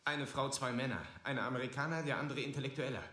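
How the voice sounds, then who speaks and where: An actor playing a skeptical, probing literary friend in a German radio play, questioning and needling. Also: male voice, medium pitch, quick pace, bright, forceful tone, natural voice. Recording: echoey room, faint noise.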